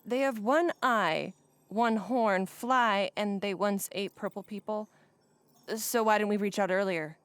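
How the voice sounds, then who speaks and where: A woman's voice: medium pitch, unhurried, fairly bright tone, clear, resonant tone, dry recording, close to an everyday voice. A woman playing a skeptical, blunt starship crew member in a comedic sci-fi play, with a pointed, questioning manner. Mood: incredulous.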